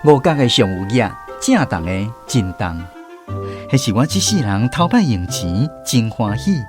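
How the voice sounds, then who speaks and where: A man narrating a story in a gentle, nostalgic, unhurried way. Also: on the low side, very animated. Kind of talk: scripted storytelling narration, reminiscing. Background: clear background music.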